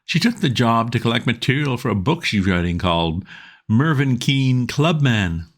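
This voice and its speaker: A man voicing an excitable young gentleman who talks in an agitated, exclamatory way. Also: mid-range voice, moderate pace, voice pushed up. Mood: aggrieved.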